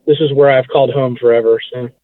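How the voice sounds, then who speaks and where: A man's voice: medium pitch, steady tone, fast, no echo. Male guest on dull, band-limited audio. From South Florida. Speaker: podcast guest. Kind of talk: casual interview chat.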